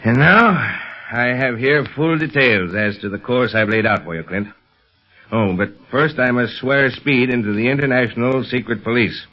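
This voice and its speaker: A man acts out an authoritative American police chief from a 1930s radio serial. He speaks formally and earnestly at a middle pitch, weighing his phrases. The sound is dull and band-limited, like an old broadcast. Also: close to an everyday voice. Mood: persuasive, firm. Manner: formal, deliberate, weighty delivery.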